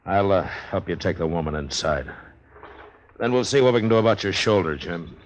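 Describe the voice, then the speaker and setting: An actor playing a level-headed Old West marshal in a 1950s radio drama, speaking in a measured, laconic, matter-of-fact way. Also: male voice, low-pitched, lively intonation, dull audio. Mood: calm, concerned. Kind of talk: 1950s radio western acting and narration.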